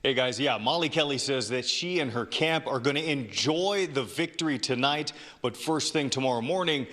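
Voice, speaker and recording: A young man reporting the news on local television, with a crisp, measured newscast delivery. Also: medium pitch, pushed and bright.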